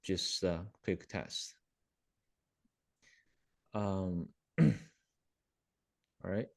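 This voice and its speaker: A man hosting a webinar, talking a live software demo through with many ums and self-corrections, often checking in with his listeners over a video call. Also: deep voice, extremely slow, creaky. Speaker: webinar host.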